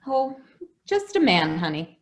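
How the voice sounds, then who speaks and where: A woman plays a gentle housekeeper in a radio play. The delivery is unhurried and very expressive. The character sounds protective and hesitant, soothing a child while anxious underneath. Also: medium pitch. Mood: soothing, evasive. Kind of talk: old-time radio drama acting.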